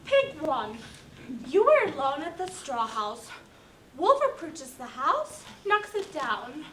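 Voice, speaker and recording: Woman as a very high-voiced, terse prosecutor. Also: big pitch swings, slow, fairly bright tone, full character voice. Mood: probing. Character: brisk female assistant prosecutor questioning witnesses. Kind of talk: comic stage-play acting. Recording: echoey, noisy, distant microphone.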